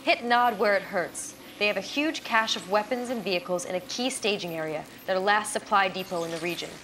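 An actress playing a brisk, stern military officer giving mission briefings in a video game. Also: female voice, medium pitch, a bit bright, natural voice. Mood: grudging approval. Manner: firm, crisp briefing. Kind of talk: video game cutscene acting. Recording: faint ambient noise behind.

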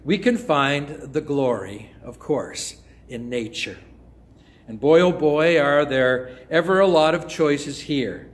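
An older man preaching a sermon in a church, close to a phone or webcam. He speaks in a measured way and often drops to a soft, hushed voice. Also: fairly high pitch, lively intonation. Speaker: pastor. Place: church sanctuary before an altar cross.

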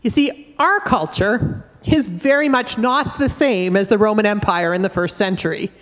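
A middle-aged woman with a Canadian accent, a minister giving a measured, earnest sermon from the pulpit with gentle humor. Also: mid-range voice. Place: wood-panelled church sanctuary, at a pulpit. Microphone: fixed church camera at a distance. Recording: church with long reverb.

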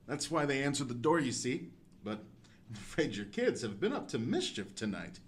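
A man's voice: mid-range voice, big pitch swings, a little hiss or hum, close to an everyday voice. Sly, menacing old gravedigger, acted by a man. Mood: falsely genial. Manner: slow, courtly, sinister politeness. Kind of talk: audio horror drama acting.

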